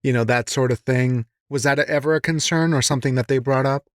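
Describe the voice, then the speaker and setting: A man's voice: medium pitch, fairly even intonation, fairly quick, dry recording, clean studio sound. A man hosting a podcast, chatting casually and encouragingly as he interviews a guest.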